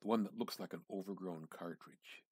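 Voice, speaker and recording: A man giving an amateur dramatic reading of literary fiction, theatrical and halting, with pauses and emphatic repetition. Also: sits low, a little mellow, dry recording, studio quality. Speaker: amateur reader.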